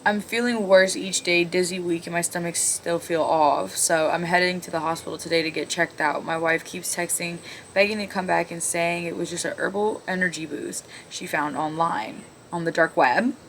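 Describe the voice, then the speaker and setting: A woman with a fairly low voice reads online stories aloud in a casual way. She adds blunt, sarcastic asides and swears freely. There is noticeable noise behind her. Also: fairly even intonation, normal speed, a bit bright. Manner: blunt, profane, sarcastic interjections. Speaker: video host reading online stories aloud. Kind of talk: casual commentary while reading stories aloud. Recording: clearly audible background noise.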